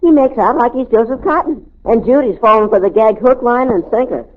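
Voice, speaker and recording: An actress playing a wisecracking, scheming young brother who teases dryly in an old-time radio comedy. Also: female voice, on the high side, fairly quick, natural voice. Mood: smug. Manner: dry teasing, scheming. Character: wisecracking young kid brother, sly and sarcastic. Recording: noisy, phone-like old radio recording.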